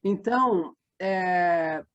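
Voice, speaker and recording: A woman's voice: on the low side, extremely slow, dull audio. A Brazilian woman answering interview questions in a conversational way, telling things reflectively and in detail. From Minas Gerais, Brazil. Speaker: interview guest, radio drama writer and set designer.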